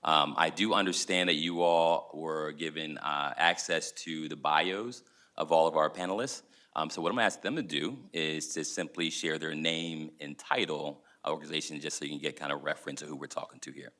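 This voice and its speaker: A man moderating a conference panel, speaking in a measured, emphatic way. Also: rumbling low, steady tone, bright, forceful tone.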